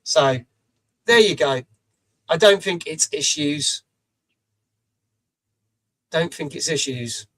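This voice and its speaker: A middle-aged man with a rough, raised voice hosts a live stream from a small home studio. He chats loosely, reads out viewers' messages and swears casually. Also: a little high, expressive, slow pace, long pauses, fairly bright tone.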